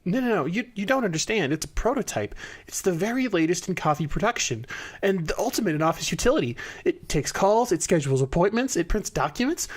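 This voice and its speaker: A man's voice: high voice, sing-song, fast talker. A man playing a flustered, earnest inventor with a hesitant, stammering manner in a comic detective audio drama. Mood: earnest, proud. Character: flustered, earnest male inventor seeking help.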